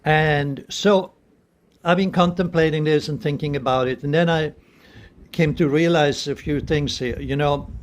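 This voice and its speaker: Man in his seventies, unhurried pace, slightly high pitch, earnest, pausing, reflective spiritual talk, phone recording indoors. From Sweden. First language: Swedish. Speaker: spiritual vlogger. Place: small room indoors. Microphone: phone at arm's length.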